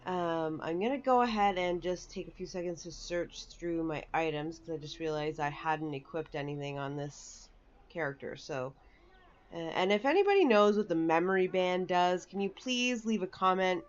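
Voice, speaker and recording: A woman's voice: on the low side, expressive, moderate pace, dull audio. A woman giving casual, chatty, rambling walkthrough commentary for a video game. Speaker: gaming video host. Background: faint game music.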